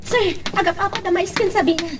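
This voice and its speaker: A woman's voice: very high voice, a little fast, noisy background. A woman acting an apologetic female office employee in an Ilocano radio drama. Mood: anxious, apologetic.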